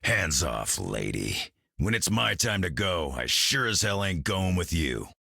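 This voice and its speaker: Gruff, defiant game brawler, husky growl, blunt and weary, dry sound. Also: male voice, low voice, very animated, a little slow, pushed and bright, no echo, full character voice. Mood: gruff defiance. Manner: blunt, folksy tough talk. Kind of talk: video game voice acting.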